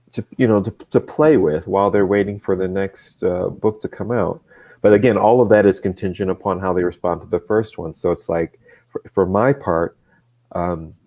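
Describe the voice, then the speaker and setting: A man with a very low, deep voice talks through his thinking in a casual discussion. He restarts his sentences often and picks his words with care. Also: darkish tone. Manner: halting, restarts often, measured. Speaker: comics creator.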